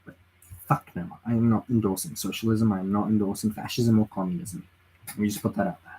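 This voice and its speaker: A man's voice: fairly low pitch, steady tone, quick pace, mellow, husky, rough recording. A tired man on a late-night livestream at home, giving his opinions in a rambling way and reading chat messages aloud. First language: English. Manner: rambling, reads chat aloud, frequent restarts and fillers. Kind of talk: casual political livestream chat.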